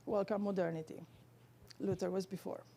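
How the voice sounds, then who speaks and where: A woman with a Romanian accent giving an academic theology lecture in careful, halting, scholarly phrasing. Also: medium pitch, flat delivery, dark tone.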